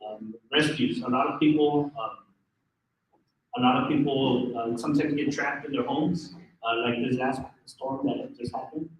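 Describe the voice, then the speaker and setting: A man with a naturally loud voice gives a public safety briefing, pausing often on ums and uhs and restarting his sentences. He sounds far from the microphone in a very echoey room, with a faint hum behind him. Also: mid-range voice, fairly even intonation. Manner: hesitant, many fillers and restarts. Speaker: fire marshal office representative.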